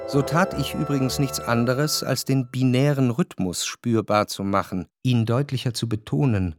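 An actor playing an introspective, wryly analytical narrator in a historical radio play, speaking in a measured, articulate, reflective way. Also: male voice, medium pitch, a little mellow, no echo, close to an everyday voice. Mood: pensive.

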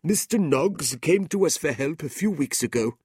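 Kindly old merchant, voiced by a man, earnest, high, lively. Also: normal speed, husky, dry recording, studio quality. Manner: earnest and raised in pitch.

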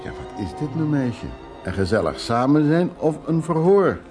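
A man's voice: a little high, big pitch swings, normal speed, over music. An actor playing an evasive, hard-drinking man in a radio drama, telling his stories in a rambling, teasing way. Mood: playful, tipsy. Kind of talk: Dutch radio drama acting.

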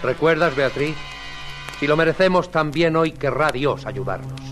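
A high-voiced heroic space commander, played by a man, speaks with a Castilian accent and declamatory authority. It is old-fashioned 1950s radio serial acting, urgent and brave, with music behind. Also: animated, normal speed, natural voice. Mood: calm resolve. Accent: Castilian Spanish.

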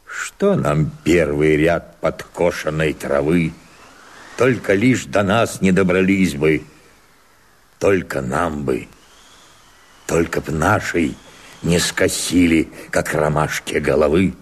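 An older man, an actor playing a bitter, defiant old Cossack peasant, declaiming verse slowly and weightily in a radio play with a husky voice. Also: a bass voice, full character voice. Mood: grim, impassioned.

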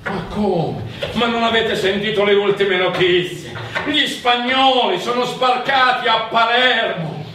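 A man's voice: sits high, measured pace, slight background noise. A man acting a flustered, scheming nobleman in a theatrical radio drama, stammering, exclaiming and repeating his words. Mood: agitated. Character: flustered, alarmed Sicilian nobleman, a scheming duke. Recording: echoey distant sound, loud music behind.

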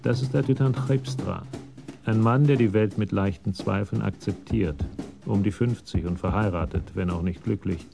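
An actor narrating a police radio drama in a measured, literary storytelling manner. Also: male voice, fairly low pitch, vocal fry. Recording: music under the voice.

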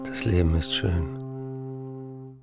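An actor playing a tender, rapturous morphine-addicted writer, reading diary and letters intimately and slowly in a documentary drama. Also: male voice, medium pitch, expressive, vocal fry, loud music behind the voice, heavily acted. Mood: blissful, dreamy.

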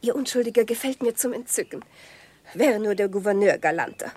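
A woman's voice: mid-range voice, animated, brisk, darkish tone, slightly rough. An actress in a German radio drama playing a frivolous, condescending society lady with an affected, coquettish, playfully cruel manner. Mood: gushing.

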